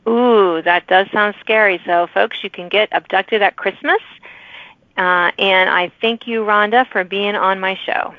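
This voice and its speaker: A woman's voice: medium pitch, steady tone, unhurried. A woman hosting an interview podcast, chatting casually and reacting in short interjections. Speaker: podcast host interviewing authors. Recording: phone-like narrow band, dry.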